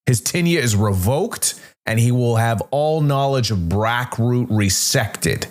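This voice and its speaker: A man's voice: mid-range voice, animated, slow, voice pushed up. A man voicing a sneering, hard security officer with formal delivery in a dramatic story. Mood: contemptuous.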